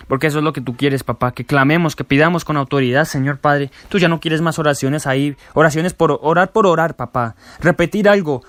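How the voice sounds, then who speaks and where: A man leading a fervent spoken prayer, repeating his address to God as a refrain and dropping soft and low on it. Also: dry recording. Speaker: prayer leader.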